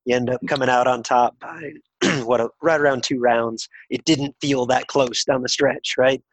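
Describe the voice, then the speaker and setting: A man's voice: medium pitch, fairly even intonation, moderate pace, dry recording. A man hosting a drag racing podcast, talking casually with many fillers while getting over a cold that has nearly taken his voice. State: recovering from a cold, near losing voice. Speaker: podcast host. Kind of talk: podcast interview.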